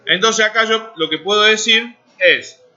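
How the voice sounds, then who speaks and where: High, bright-voiced Argentine teacher. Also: male voice, a little slow. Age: 40s. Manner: talks while typing, asks students checking questions. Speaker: programming teacher. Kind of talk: live classroom coding lesson. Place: classroom. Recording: dull lo-fi classroom recording.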